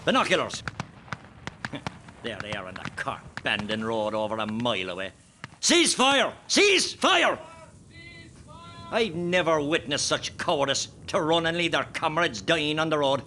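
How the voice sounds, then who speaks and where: A man acting as an Irish rebel officer in a war radio play. His forceful voice swings widely as he hands out terse commands, with some battle noise behind him. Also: fairly high pitch, sing-song, a little slow, pauses often, full character voice. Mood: fierce, urgent.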